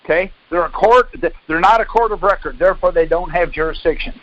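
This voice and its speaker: Man, high voice, dry close sound. Call leader who lectures, challenges and corrects. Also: quick pace, a bit bright, no room sound, lo-fi sound. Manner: corrective, insistent, questioning. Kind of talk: explaining and debating on a conference call.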